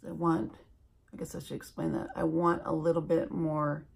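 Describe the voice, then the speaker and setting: Slow-talking alaskan lady doing her makeup on camera, super gravelly and a bit husky. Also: sits low, little pitch movement, darkish tone. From Alaska, USA. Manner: slow-talking, chatty, self-deprecating. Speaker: beauty vlogger. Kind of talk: chatty makeup tutorial.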